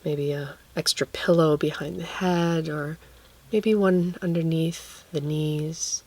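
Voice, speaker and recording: A woman guiding a relaxation meditation, speaking gently and leaving long pauses between phrases. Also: low voice, fairly even intonation. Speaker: guided meditation teacher.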